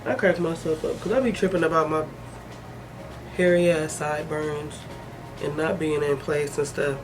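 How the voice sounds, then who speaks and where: A woman's voice: low voice, fairly even intonation, moderate pace, faint music behind, poor audio. A woman giving a casual hair tutorial, chatty and joking, sniffly with a head cold. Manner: chatty, joking, talks to herself. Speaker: hair vlogger.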